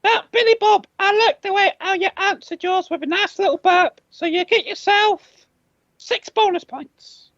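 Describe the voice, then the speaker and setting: A woman's voice: extremely high pitch, normal speed, gravelly, a bit bright, dry recording, lo-fi sound. A woman co-hosting a comedy podcast, teasing and chatty as she keeps score. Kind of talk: comedy quiz chat.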